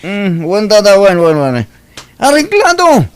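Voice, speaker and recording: A man's voice: sits high, big pitch swings, unhurried, music in the background. A man playing a brash young suitor in an audio drama, eager and evasive, drawling and calling out. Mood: eager, pleading.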